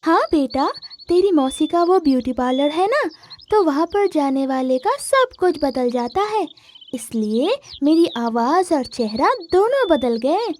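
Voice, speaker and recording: Woman voicing a high-pitched scheming stepmother bird. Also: very animated, unhurried, darkish tone, a little hiss or hum. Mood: sweetly deceitful. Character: scheming deceitful female stepmother bird, sly and greedy. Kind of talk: Hindi moral-story cartoon dubbing.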